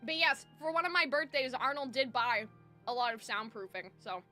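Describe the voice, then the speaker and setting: A woman hosts a casual livestream with a high, bright voice. She rambles in a chatty, slangy, giggly way to her viewers. She sits close to the mic in a treated room, with music playing behind her. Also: normal speed. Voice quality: high-pitched when excited. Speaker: live streamer, host. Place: soundproofed room at home. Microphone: close microphone. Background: faint music.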